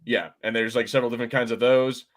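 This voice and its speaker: Halting, informal male podcast host. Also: mid-range voice, little pitch movement. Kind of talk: casual pop-culture discussion, explaining game lore.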